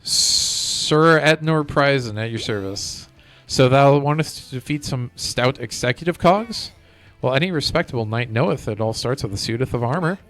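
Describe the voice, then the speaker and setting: A man's voice: medium pitch, fairly bright tone. Man putting on a pompous chivalrous game knight. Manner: grand mock-archaic speech. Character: pompous chivalrous male knight quest-giver. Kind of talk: video game dialogue read aloud. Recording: music playing behind the voice.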